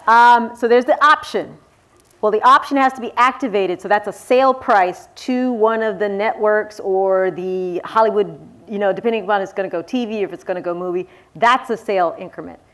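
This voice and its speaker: A woman's voice: medium pitch, fairly quick, fairly bright tone. A woman author speaking casually on a panel, explaining things in a chatty, folksy, informal way. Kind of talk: casual panel talk answering audience questions.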